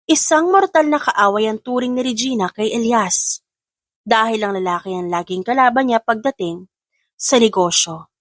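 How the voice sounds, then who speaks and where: Female audiobook narrator, scripted dramatic storytelling, slightly high pitch, close dry sound. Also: normal speed, no echo.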